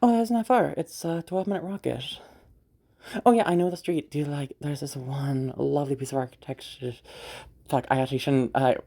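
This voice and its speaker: Girl voice acting a caring young party host in an audio roleplay, low mellow voice, rambly n teasing. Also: a little fast. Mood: caring, earnest. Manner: rambling, hesitant, softly reassuring, casual swearing. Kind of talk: audio roleplay, naturalistic one-sided dialogue.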